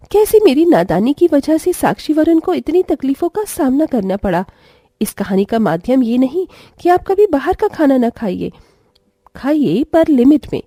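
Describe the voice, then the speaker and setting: A woman's voice: high-pitched, mellow, no room sound, clean studio sound. A woman giving a scripted storytelling address to viewers. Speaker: story narrator and voice performer.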